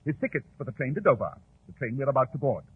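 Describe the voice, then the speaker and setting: Brisk, theatrical British detective. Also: male voice, medium pitch, expressive, breathy edge. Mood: explaining keenly. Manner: clipped, precise, theatrical, self-assured. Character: brisk, confident, brilliant male London detective. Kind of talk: 1940s radio drama acting. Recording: muffled, tinny old 1940s radio recording.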